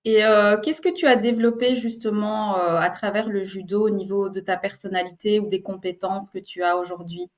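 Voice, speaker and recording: A woman's voice: medium pitch, fairly even intonation, moderate pace, hardly any pauses. A woman hosting a podcast interview, asking questions in an encouraging, admiring way. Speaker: podcast host.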